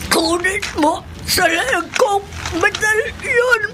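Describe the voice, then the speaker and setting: A woman's voice: extremely high pitch, slow pace, very noisy. A woman playing an anguished, commanding older village woman in a horror radio drama, giving wailing, urgent instructions. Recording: music behind the voice.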